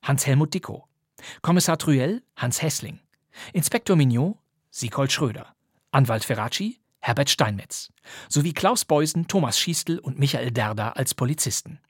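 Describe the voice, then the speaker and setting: A man's voice: mid-range voice, expressive, moderate pace, breathy edge, no room sound, clean studio sound. A man reading scripted radio end credits as an announcer.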